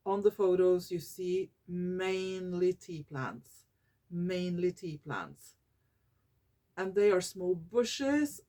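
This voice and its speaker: A Norwegian woman vlogging in English, her second language, often pausing to search for words and correcting herself. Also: fairly low pitch, darkish tone. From Norway. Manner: slow and searching for English words, many fillers and self-corrections. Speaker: YouTuber. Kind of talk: casual talking-head vlog.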